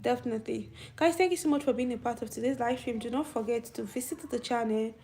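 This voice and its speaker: A woman's voice: fairly high pitch, fast talker, darkish tone, a little hiss or hum. A middle-aged woman with a young-sounding voice hosting a casual live stream, chatting and answering viewer comments. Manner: youthful-sounding voice. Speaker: channel host.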